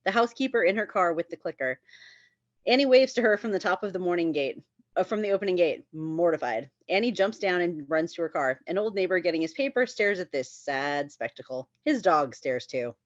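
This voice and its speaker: Lowish-voiced woman reading stage directions. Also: animated, brisk, dry recording, dull audio. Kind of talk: reading screenplay narration at a table read.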